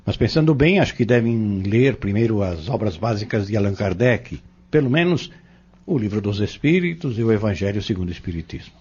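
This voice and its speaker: Man acting a learned male mentor, Brazilian accent, husky, didactic, dull lo-fi audio novel recording. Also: lowish voice, animated, darkish tone. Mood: earnest, explanatory. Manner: didactic, measured, formal. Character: learned, patient male spiritist mentor explaining doctrine.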